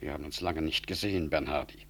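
An actor playing a genial, self-important minister with a husky voice, speaking in a deliberate, oratorical way in a radio drama. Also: male voice, very deep voice, fairly bright tone, exaggerated voice. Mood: genial, measured. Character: self-important government minister, genial, grandiose reforming politician. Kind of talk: 1960s German radio drama acting.

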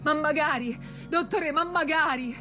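A naive young woman with a central Italian, Roman-area dialect. Her voice is extremely high, colloquial and distraught, gushing theatrically as in a radio play, with faint music behind. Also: a little slow. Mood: plaintive, gushing. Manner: colloquial, breathless telling. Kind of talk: theatrical radio drama acting.

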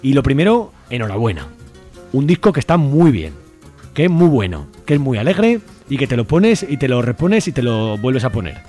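A man's voice: medium pitch, very animated, normal speed, dark tone, soft background music. A man with an accent from Spain hosting a casual radio interview in an effusive, playful way. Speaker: radio show host.

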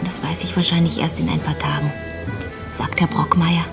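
A woman's voice: sits low, little pitch movement, normal speed, music in the background. A woman acting a terse, subdued police detective in a naturalistic radio crime drama, understated and brief. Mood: shaken, sober.

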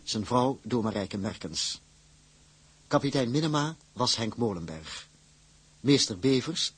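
A man's voice: mid-range voice, slightly rough, no room sound. A man reading scripted credits formally as a radio announcer.

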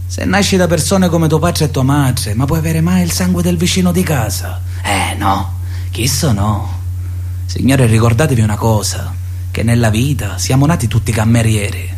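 A man's voice: medium pitch, animated, normal speed, close to an everyday voice. An actor playing a swaggering, menacing young Sicilian man of honour in a radio drama, boastful and mocking, with a Sicilian accent. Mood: smug, menacing. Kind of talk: theatrical radio drama monologue.

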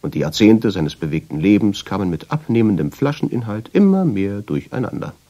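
An actor playing a reflective traveller who tells his story in measured, deliberate radio drama narration, with a husky voice. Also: sing-song, dark tone, dull audio. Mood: reminiscing. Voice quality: low, husky voice. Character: reflective male traveller, drawn and obsessive, telling his story. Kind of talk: literary radio drama narration in first person.

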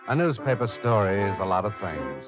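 An actor playing an earnest radio drama narrator, speaking gravely and deliberately in a theatrical style. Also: male voice, lowish voice, sing-song. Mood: solemn, stirring. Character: earnest dramatic male narrator addressing the heroine as you. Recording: dull old radio recording, music bed.

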